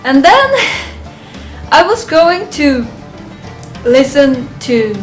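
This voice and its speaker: A woman giving a casual, unscripted fan review of a ranked list, halting and thinking aloud, often chuckling. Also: high voice, big pitch swings, soft background music.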